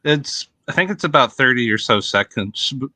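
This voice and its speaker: A man's voice: medium pitch, expressive, bright, forceful tone, no room sound. A man chatting casually on a group call, stumbling over his words with many fillers. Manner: halting, many fillers and restarts. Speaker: guest, YouTuber. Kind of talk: casual chat.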